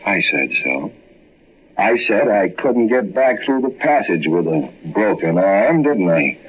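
A vengeful, mocking man in a 1940s radio horror play. The voice is raspy and swings widely in pitch as he coolly taunts, heard through a dull vintage broadcast recording. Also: big pitch swings, a little slow, heavily acted. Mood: menacing. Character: menacing, mocking, vengeful man taunting his would-be killer.

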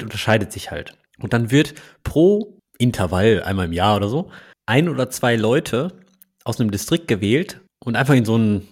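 A man chatting casually as a podcast co-host, teasing and telling things in a jokey, animated way. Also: fairly low pitch, slightly rough. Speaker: podcast co-host, engineering manager.

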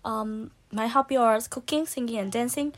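A woman of twenty-six with a Vietnamese accent, introducing herself quickly and brightly. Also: fairly high pitch. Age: 20s. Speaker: student. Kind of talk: casual self-introduction.

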